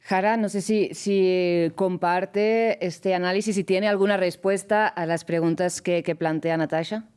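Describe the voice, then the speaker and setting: A woman hosting a television news debate, guiding the panel with measured questions and frequent hesitations. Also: lowish voice, steady tone, a little mellow, smooth. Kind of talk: moderating a panel discussion.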